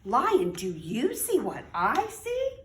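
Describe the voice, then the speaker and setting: A woman reads as a scheming trickster spider in a children's storybook, all friendly on top and crafty underneath. The delivery is exaggerated and swoops widely in pitch. The recording is roomy and a bit distant. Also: huge pitch swings, slow pace, full character voice. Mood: falsely friendly. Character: sly, scheming trickster male spider of folktale. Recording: roomy, distant mic with faint noise.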